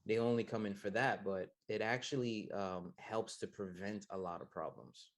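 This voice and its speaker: A man in his thirties from the United States, answering interview questions about his work as an acupuncturist in a soft-spoken way, with many pauses and fillers. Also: on the low side, fairly even intonation. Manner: soft-spoken, many ums, laughs easily. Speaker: acupuncturist guest.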